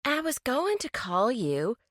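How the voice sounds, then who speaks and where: A woman's voice: high-pitched, huge pitch swings, slow, fairly bright tone, dry recording, studio quality, voice pushed up, heavily acted. A woman voicing a defiant teenage girl who talks back in a snappy, dismissive way. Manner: snappy, sassy, nonchalant.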